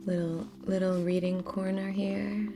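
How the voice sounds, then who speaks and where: A woman speaking softly as host of a guided spiritual ritual, often slipping into a near-whisper, in a meandering, casual chat. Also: mid-range voice, flat delivery, measured pace, background noise. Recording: music clearly under the voice. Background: music.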